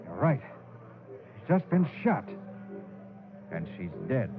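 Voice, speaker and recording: An actor playing a composed, authoritative master detective with crisp, articulate delivery in a 1940s radio crime drama. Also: male voice, medium pitch, sing-song, slow pace, loud music behind the voice. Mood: urgent. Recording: narrow, noisy 1940s radio recording.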